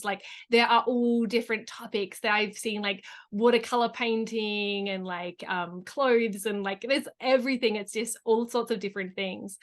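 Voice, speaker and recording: A woman's voice: medium pitch, a bit bright. A woman hosting an interview, enthusiastic and chatty, with many casual likes. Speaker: host. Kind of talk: interview host.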